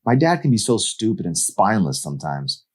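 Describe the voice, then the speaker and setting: An exasperated teenage girl from a novel read out by a man, hurt and sarcastic. The delivery is emphatic and snappish, lifted well above the reader's deep usual register. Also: big pitch swings, fairly quick, a little mellow, slightly rough, exaggerated voice. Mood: fed up.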